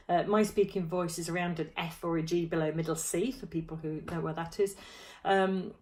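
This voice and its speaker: Friendly female choir coach, thirties, lower voice, relaxed teaching tone, laptop-mic home recording. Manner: friendly, demonstrative, touching throat and jaw while explaining. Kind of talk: vocal warm-up lesson to camera. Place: small room at home, indoors.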